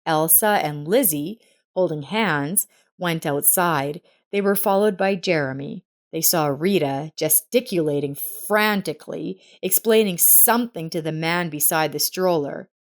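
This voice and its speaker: A woman narrates a scripted family story. Her voice sits a little low for a woman, and she reads as a storyteller would. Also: a little slow.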